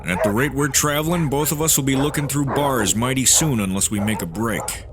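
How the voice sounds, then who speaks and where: An actor playing a cunning gold rush outlaw ringleader in an audio drama, scheming and mockingly polite. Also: male voice, medium pitch, a little fast, background noise, lightly acted.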